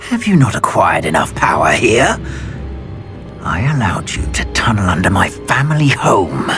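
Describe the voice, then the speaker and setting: An actor playing an arrogant, resentful dark wizard in a video game, indignant and contemptuous. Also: male voice, low voice, sing-song, moderate pace, soft background music, full character voice. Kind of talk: video game character acting.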